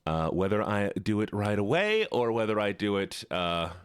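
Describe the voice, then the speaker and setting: A man hosting a livestream in casual chat, animated, his voice rising when excited and dropping low as he relaxes. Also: moderate pace, clear, resonant tone, dry recording, studio quality. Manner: animated, voice rises and drops. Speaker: livestream host.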